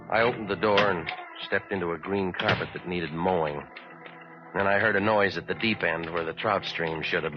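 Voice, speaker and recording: Old radio noir detective guy man acting, american, real low voice, cynical n deadpan, muffled old recording. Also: lightly acted. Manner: deadpan, terse. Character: cynical wisecracking hard-boiled male private detective narrator. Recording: dull vintage radio recording with music.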